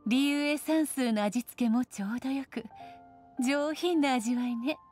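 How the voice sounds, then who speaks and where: A woman voicing an elegant, composed and stately noblewoman judge in an anime game, refined and deliberate. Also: high voice, darkish tone, soft background music. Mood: composed, approving.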